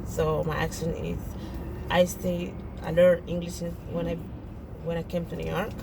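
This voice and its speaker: A woman's voice: lowish voice, flat delivery, normal speed, creaky, noisy background. Earnest woman with a French accent. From French-speaking Africa. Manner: earnest and pleading, repeats herself and restarts phrases. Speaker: video blogger. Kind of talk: direct-to-camera appeal.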